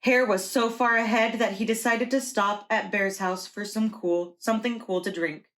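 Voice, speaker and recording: A woman's voice: medium pitch, fairly even intonation, normal speed, fairly bright tone. A woman reading a picture book aloud to young children as a preschool teacher.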